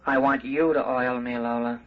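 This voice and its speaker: An actor playing a childlike, obedient killer robot in a 1950s radio drama, with simple, literal, dutiful speech. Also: male voice, mid-range voice, little pitch movement, normal speed, lightly acted. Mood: childlike, wanting. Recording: muffled, noisy vintage radio recording.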